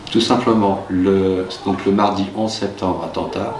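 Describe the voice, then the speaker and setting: A middle-aged man with a very low voice and Russian as his native language, telling his own story slowly and thoughtfully, stopping often to search for words. He sounds set back from the mic in a roomy space, with a faint hum of ambience behind him. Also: fairly even intonation, recorded from a distance. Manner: hesitant, many pauses and fillers. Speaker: teacher being interviewed. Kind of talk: reflective personal recollection.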